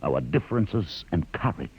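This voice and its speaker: An actor playing a grave, weary leader of shipboard outcasts in 1950s radio drama, measured and solemn in delivery. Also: male voice, deep voice, very animated, vocal fry, a little hiss or hum, heavily acted. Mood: guarded, testing. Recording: muffled, dull 1950s radio recording.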